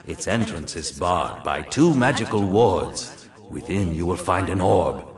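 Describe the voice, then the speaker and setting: Ancient, proud phoenix whose very deep voice rolls slowly and swings widely in pitch. He sounds set back from the mic, in a roomy, echoing space, grave and commanding. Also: expressive, far from the mic. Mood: solemn. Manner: slow, solemn, commanding. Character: ancient bound male phoenix, proud and weary. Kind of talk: game cutscene voice acting.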